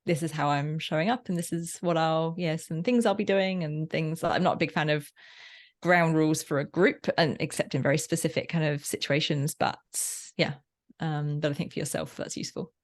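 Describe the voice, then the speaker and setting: A woman's voice: sits low, steady tone, normal speed. A woman speaking as an interview guest in a casual, discursive way, often rephrasing mid-sentence. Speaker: podcast guest, workplace learning facilitator.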